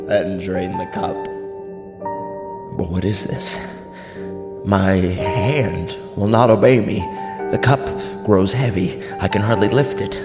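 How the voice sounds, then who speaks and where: An actor playing an anguished, ailing father in a classical tragedy, declaiming grave, halting verse in a grandiloquent way. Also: on the low side. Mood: uneasy, faltering. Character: anguished ailing father, estranged brother of a tyrant king. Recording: long hall reverb, music underneath.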